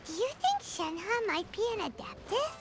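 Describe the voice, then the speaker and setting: A woman voicing a tiny, excitable female fairy companion in a video game who talks about herself by name. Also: extremely high pitch, measured pace, full character voice. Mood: eager. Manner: bubbly, refers to herself by name. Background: game music.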